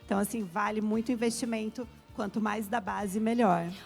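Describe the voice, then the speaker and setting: Uma mulher brasileira de meia-idade, especialista em educação internacional, explicando com calma e muitos "né" numa entrevista. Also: female voice, mid-range voice, fairly quick, vocal fry, faint music behind. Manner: explains at length, frequent "né" tags. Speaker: international education specialist, guest. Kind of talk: explanatory interview talk.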